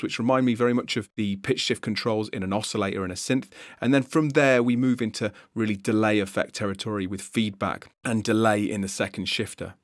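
A man's voice: on the low side, dry recording. A man giving an explanatory, conversational screencast tutorial narration as an instructor, recorded on a close voice-over mic.

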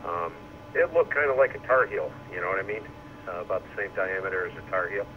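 Deep-voiced man rambling over two-way radio. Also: expressive, quick pace, highs cut off. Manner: rambling, thinking aloud. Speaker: amateur radio operator. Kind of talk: casual radio conversation. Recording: radio speaker re-recorded with loud noise.

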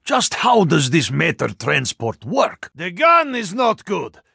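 A male actor playing a huge, earnest, courteous Russian yeti in a video game, with a Russian accent, gravelly voice and formal, stilted phrasing. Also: a little high, wildly swooping pitch, slow, bright, forceful tone, no room sound, clean studio sound, full character voice. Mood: earnest, dry. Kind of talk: video game character voice lines.

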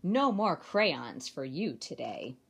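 A woman's voice: low voice, big pitch swings, takes it slowly, gravelly, a bit bright, breathy edge, voice pushed down, heavily acted. A woman voicing an exasperated mother who scolds her little daughter in a storybook. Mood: stern. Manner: exclaiming, scolding.

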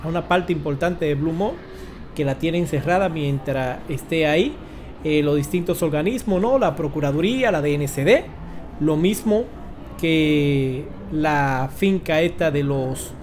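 Slow-paced Dominican man commenting on news. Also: on the high side, darkish tone, slight background noise. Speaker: online news commentator and host. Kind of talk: informal news commentary to camera. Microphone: close desk microphone.

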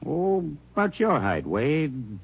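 An actor with an American accent playing a plain-talking, defensive hotel manager in an old radio drama. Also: male voice, mid-range voice, huge pitch swings, slow, husky, heavily acted. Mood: defensive, helpful. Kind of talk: 1950s radio drama acting. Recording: dull old radio recording.

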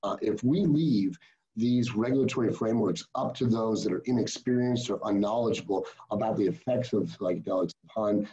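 A man's voice: lowish voice, a little fast, mellow, lo-fi sound. A man in his forties speaking as a panelist over a video call, making earnest, formal points about regulation. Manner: earnest, formal policy talk.

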